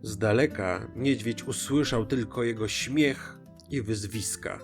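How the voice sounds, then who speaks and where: A man narrating a scripted children's fairy tale in an expressive, dramatic way. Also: fairly low pitch, unhurried. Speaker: storyteller. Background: music.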